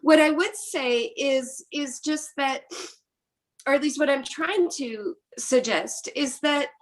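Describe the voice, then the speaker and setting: A middle-aged woman from Quebec whose first language is French, speaking thoughtfully with many restarts in an academic panel discussion. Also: high-pitched. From Quebec, Canada. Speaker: philosopher panelist.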